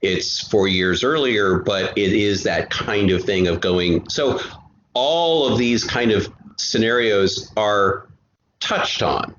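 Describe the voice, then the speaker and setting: A man's voice: lowish voice, expressive, slow, a bit bright. A man speaking as a guest in a casual interview, explaining and rephrasing as he goes. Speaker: audio drama creator guest. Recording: dull, roomy sound with faint noise.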